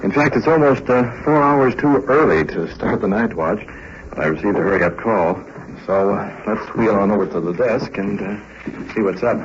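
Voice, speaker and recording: An American man narrating an on-the-scene 1950s radio documentary as a police recorder, speaking deliberately and unscripted. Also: lowish voice. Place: on location in police station and outdoors. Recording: dull vintage radio recording, crowd noise.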